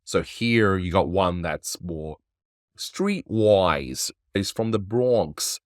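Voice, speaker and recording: A man's voice: sits low, lively intonation, very slow, dry recording, studio quality. A man hosting a casual animation review, chatty and analytical, with frequent restarts. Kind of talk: casual cartoon review commentary.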